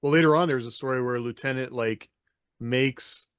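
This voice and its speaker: Man, middle-aged, casual podcast co-host, medium pitch, dry sound, thinking aloud. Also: normal speed, fairly bright tone, clean tone, no echo. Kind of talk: casual panel discussion of writing. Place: indoor room on a video call.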